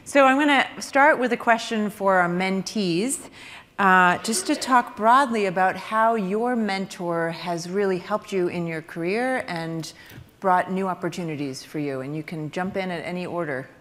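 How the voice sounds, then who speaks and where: A woman moderating a conference panel who prompts the speakers in a friendly, brisk way. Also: fairly low pitch, a bit bright. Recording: long hall reverb through PA.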